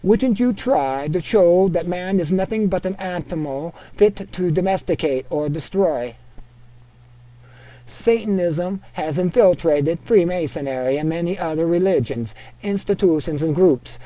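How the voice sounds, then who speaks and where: A man reading an article aloud in a put-on Norwegian accent, steady and deliberate. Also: high-pitched.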